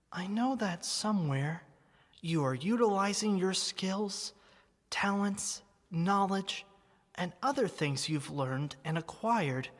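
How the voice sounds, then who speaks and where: Slow, breathy teddy bear, voiced by a woman. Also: lowish voice. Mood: tender, encouraging. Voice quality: soft, breathy. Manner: slow, tender, sentimental. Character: gentle, wistful, loving living teddy bear, childhood friend. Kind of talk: ASMR roleplay. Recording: long reverb.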